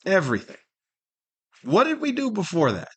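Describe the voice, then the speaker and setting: A man's voice: high voice, huge pitch swings, normal speed, breathy edge. A middle-aged or older man hosting a solo podcast, rambling casually with wry humor and plenty of rhetorical questions. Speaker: podcast host.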